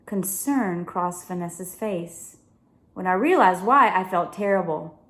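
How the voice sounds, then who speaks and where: A woman reading a children's novel aloud with expressive narration. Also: lowish voice, measured pace, reverberant. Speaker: story reader.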